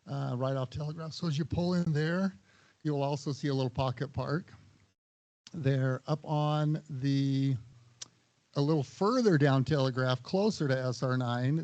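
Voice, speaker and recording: A man serving as an HOA operations director at a town hall meeting, losing his voice and clearing his throat, methodically giving spoken directions and correcting himself. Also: medium pitch, a little mellow, highs cut off.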